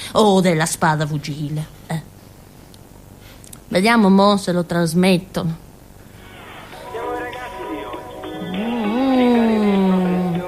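An actress playing a naive, simple-minded village woman in a theatrical monologue, rambling and childlike with a southern Italian, Calabrian accent. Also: medium pitch. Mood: proud, chatty. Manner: rambling, childlike, with muttered asides and little interjections. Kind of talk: theatrical monologue in a radio drama.

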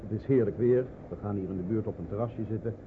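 An actor in a radio drama playing a courteous, helpful community representative with a polite, hesitant, businesslike manner. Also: male voice, on the low side, fast talker, natural voice. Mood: friendly, brisk. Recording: tinny radio recording with clear noise.

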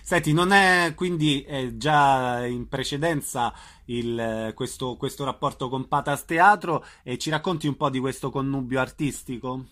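A man's voice: mid-range voice, measured pace, a bit bright. A man interviewing guests in a conversational radio style, putting his questions informally.

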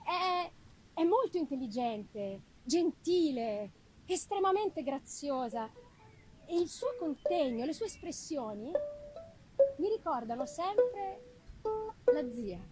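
A woman voicing an affectionate, anxious young gentlewoman in a theatrical, unhurried reading of a letter from a gothic novel. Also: sits high, full character voice, poor audio. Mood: grave, affectionate. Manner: expressive, unhurried dramatic delivery with pauses. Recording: live music behind the voice.

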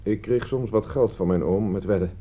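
An actor playing a defensive thirty-year-old idler under questioning, speaking evasively in a radio play. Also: male voice, lowish voice, moderate pace, close to an everyday voice. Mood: explaining, wry. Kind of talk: Dutch radio drama dialogue. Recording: dull, band-limited old radio recording.

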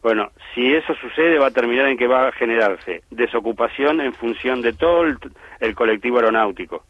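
A man's voice: medium pitch, brisk, highs cut off. A man explaining aviation policy on the radio, emphatic and full of "eh" and "este" fillers. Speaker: aviation industry commentator. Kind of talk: radio phone-in analysis.

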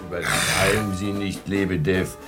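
An actor in a German radio play as a sceptical tavern companion raising objections. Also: male voice, sits low, steady tone, normal speed, music in the background, close to an everyday voice. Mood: objecting.

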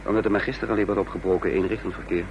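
An actor playing a tense, commanding conspirator and first-person narrator in a radio drama, emphatic and dramatic. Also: male voice, sits low, steady tone, racing, highs cut off. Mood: reassuring. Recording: noisy, humming old radio recording.

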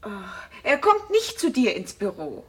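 A woman's voice: sits high, huge pitch swings, a little slow, noisy background, heavily acted. An actress in a radio drama playing a concerned, protective woman who mediates a family quarrel matter-of-factly. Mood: firm, mediating.

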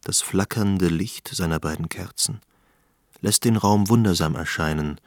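Low, husky male radio-play narrator. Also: a little slow, no echo, studio quality. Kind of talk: scripted literary narration for radio drama.

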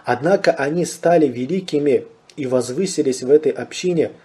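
A man giving a religious lecture. He poses rhetorical questions and answers them himself, and he recites Arabic phrases slowly before translating them. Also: warm tone. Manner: rises in pitch and volume on questions, drops low on honorifics. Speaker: Islamic lecturer.

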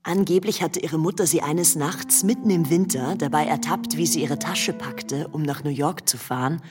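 An actress plays a worldly young society woman telling her memories in a radio play. Her voice is low and composed, and music plays clearly behind her. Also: moderate pace, natural voice. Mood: reminiscing, composed. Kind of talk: radio play storytelling in German.

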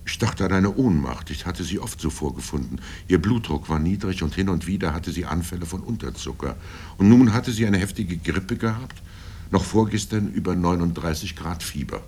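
An older man reading literary first-person prose in a grave, deliberate manner with dramatic pauses. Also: darkish tone. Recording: low rumble and background noise.

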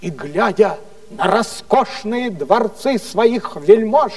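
Man reading as an indignant high-voiced French chronicler. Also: animated, slow, creaky, darkish tone, long reverb tail, recorded from a distance, full character voice. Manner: passionate, accusatory. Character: indignant Frenchman denouncing court corruption.

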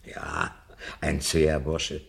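An actor playing a timid, anxious old literature professor in a 1970s German radio play. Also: male voice, a bass voice, completely flat delivery, slow, gravelly, fairly bright tone, full character voice. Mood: musing. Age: older.

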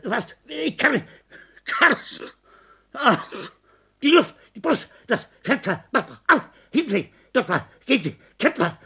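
A woman's voice: fairly high pitch, big pitch swings, slow, sharp tone, slightly rough, full character voice. A breathless delirious woman. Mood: panicked. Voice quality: gasping, broken fragmented phrases. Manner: pleading, panicked, repeating words. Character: delirious woman suffocating in fever, terrified. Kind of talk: dramatic acting.

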